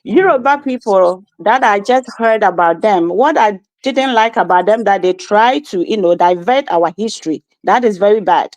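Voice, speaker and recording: A woman's voice: medium pitch, animated, moderate pace, hardly any pauses, darkish tone, dry recording, rough recording. Insistent Ghanaian woman, dry close sound. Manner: insistent, keeps repeating "you see what I mean". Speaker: guest commentator. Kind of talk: opinionated interview talk.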